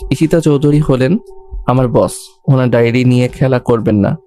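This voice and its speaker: A male actor plays a bold young servant in a romantic audio drama. He speaks with cheeky mock deference and a protective edge, in a mid-pitched male voice over music. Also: moderate pace, mellow, natural voice. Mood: stern threat. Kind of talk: audio love story dramatic acting.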